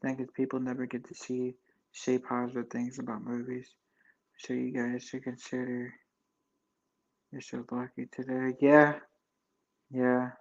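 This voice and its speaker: A man's voice: mid-range voice, completely flat delivery, unhurried, creaky, long pauses. A young man in his twenties hosting a live chat, talking in a loose, rambling way full of fillers and restarts. His voice stays level, with little rise and fall. The audio sounds dull and lo-fi. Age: 20s. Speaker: livestream host chatting with viewers.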